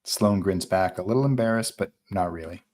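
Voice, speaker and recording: A man with a fairly low voice reads screenplay action and scene headings aloud. He narrates for a live table read. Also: a little fast, darkish tone. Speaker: narrator reading stage directions.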